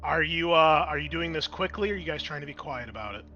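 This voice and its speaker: Bright-voiced male game master, slightly muffled audio. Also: a little high, animated, fast talker. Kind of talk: improvised tabletop game narration and rules talk.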